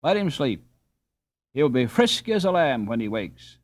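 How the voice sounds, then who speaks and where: A man voicing a calm, kindly boy captain with a measured, assured manner in a storybook reading. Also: mid-range voice, dry recording. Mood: calm, reassuring.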